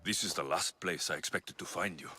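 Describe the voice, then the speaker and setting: An actor playing a determined, wry young Spartan warrior in a video game, speaking in a terse, dry way. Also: male voice, low-pitched, brisk, bright, forceful tone, a little hiss or hum. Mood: dry, reproachful. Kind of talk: video game dialogue acting.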